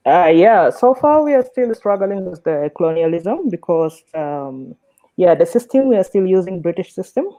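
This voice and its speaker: A woman's voice: lowish voice, very animated, warm tone, no echo. South sudanese woman, panel guest hunting for words, thin dry phone-like audio. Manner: halting, searches for words. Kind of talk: explanatory panel discussion.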